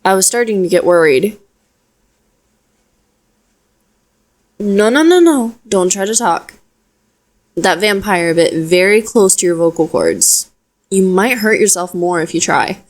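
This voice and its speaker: A woman's voice: medium pitch, animated, moderate pace, natural voice. Woman acting a caring, frank witch rescuer. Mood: concerned, gentle. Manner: gentle, reassuring, conversational. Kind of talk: intimate audio roleplay acting, addressing listener.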